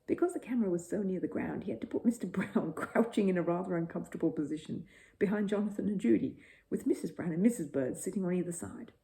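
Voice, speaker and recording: Female storyteller for children's bedtime narration; slightly low, mellow, animated. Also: fast, gravelly.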